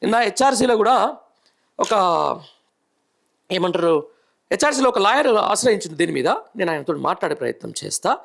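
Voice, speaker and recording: A man's voice: high voice, big pitch swings, fairly quick, vocal fry, pauses often, fairly bright tone. A middle-aged man with a Telangana accent, hosting live political news commentary with emphatic, indignant rhetorical questioning. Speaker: news show host, journalist.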